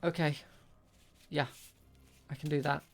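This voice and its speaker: A game master with a creaky voice and a hesitant delivery, improvising tabletop roleplay as a shopkeeper. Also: medium pitch, level tone, measured pace.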